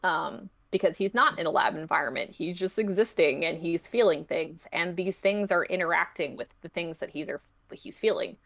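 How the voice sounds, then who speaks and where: A woman's voice: on the low side, moderate pace, few pauses, fairly bright tone. A woman speaking as a podcast guest, explaining their own creative work in a chatty, informal way. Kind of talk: casual interview chat about their own story.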